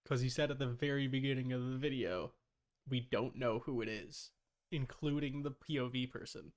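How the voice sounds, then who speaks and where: A man's voice: mid-range voice, moderate pace. A man chatting casually on a live stream, reacting to a video bluntly with plenty of swearing and talking back to viewers.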